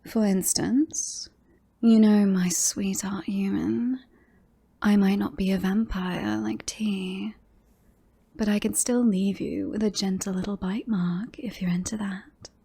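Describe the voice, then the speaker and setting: Woman acting a slow-talking, smug female werewolf. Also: mid-range voice, dark tone. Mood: seductive. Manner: teasing, mocking, drawn-out coaxing delivery. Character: smug, possessive female werewolf, lovestruck with a human. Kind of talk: ASMR roleplay.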